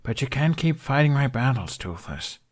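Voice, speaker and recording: A man's voice: medium pitch, sing-song, normal speed, voice pushed up. A man voicing a self-deprecating young man in an audiobook, downcast, wry and given to mumbling. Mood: resigned.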